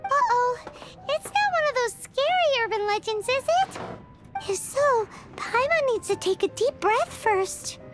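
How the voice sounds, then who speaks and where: A woman voicing a small, excitable childlike fairy companion in a video game, with a cute, squeaky, nosy delivery. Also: unhurried, loud music behind the voice. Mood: startled, nervous. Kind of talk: video game character acting.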